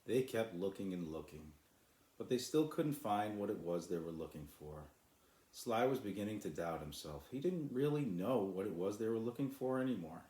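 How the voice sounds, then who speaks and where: Deep-voiced man in an echoey room. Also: lively intonation, moderate pace, dark tone. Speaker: author reading his own children's book. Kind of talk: storybook narration.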